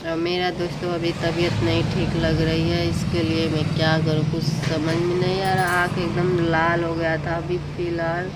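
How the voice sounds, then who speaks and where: Low-pitched woman vlogger, noisy recording. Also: level tone, normal speed, hardly any pauses. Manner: conversational, repeats phrases, addresses viewers as friends. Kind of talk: casual chat to viewers.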